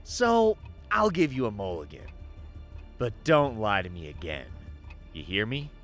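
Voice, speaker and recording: A man's voice: lowish voice, huge pitch swings, a bit bright, soft background music, exaggerated voice. An actor playing a menacing male crime boss in an audio drama, taunting and falsely friendly as he toys with his prey. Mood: mocking menace. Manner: taunting, toying, drawn-out threats.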